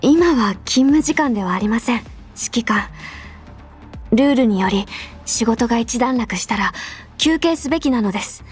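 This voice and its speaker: A high, youthful female voice for an anime game , acted by a woman, playing an earnest adjutant devoted to rules. She speaks with formal, prim politeness and a caring undertone. Music plays behind her lines. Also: lively intonation, moderate pace, lightly acted. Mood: prim, concerned. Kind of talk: Japanese anime game voice lines, intimate delivery.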